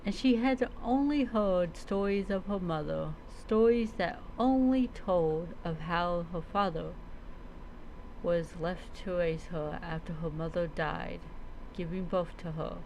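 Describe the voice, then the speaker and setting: A woman telling a story in character, reading aloud haltingly with reminiscing asides. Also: fairly low pitch, darkish tone, slight background noise, poor audio. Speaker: roleplay streamer.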